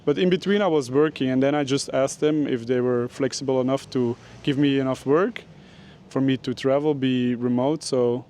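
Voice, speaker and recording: A man's voice: mid-range voice, moderate pace, mellow, slight background noise. A young man from Belgium, a graphic designer, explaining his work in a casual outdoor interview, rambling and searching for words.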